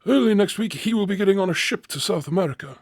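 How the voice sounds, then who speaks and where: Male actor as evasive servant, high male voice, humble, hesitant, pleading, very dry recording, audio drama. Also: animated, quick pace, no echo. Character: deferential, evasive male manor servant, loyal to family.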